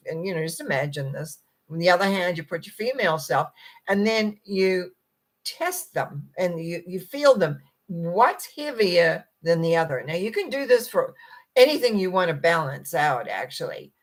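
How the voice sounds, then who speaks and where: A middle-aged woman with a croaky, husky voice gives a gentle, meandering spiritual talk and often clears her throat. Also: on the low side. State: croaky, rough voice. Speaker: spiritual teacher. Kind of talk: reflective talk to viewers.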